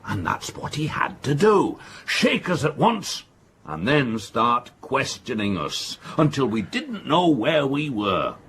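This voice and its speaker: A man's voice: mid-range voice, huge pitch swings, slow, a bit bright, a little hiss or hum, full character voice. An older man playing a self-assured, blustering father in a period radio drama, arguing emphatically and dismissively. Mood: triumphant bluster. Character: blustering, self-assured middle-aged father of a well-to-do family. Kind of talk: period stage-play radio drama acting.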